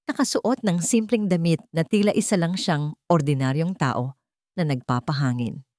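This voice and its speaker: A woman's voice: lowish voice, a little mellow, dry recording, clean studio sound. A woman reading a scripted dramatic story as its narrator.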